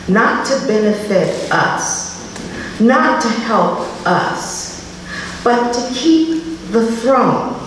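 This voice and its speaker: A woman giving a live spoken-word poetry reading, with dramatic, deliberate delivery and informal asides. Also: fairly high pitch. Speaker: poet reading at a literary festival. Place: live public reading in a reverberant room. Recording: echoey hall, distant mic, faint noise.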